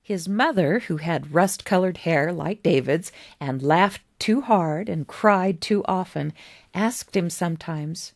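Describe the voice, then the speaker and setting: A woman reads a scripted storybook tale in a fairly low voice. She takes her time, setting out each phrase deliberately and pausing between them. The sound is close and dry. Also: no echo. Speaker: author reading own story.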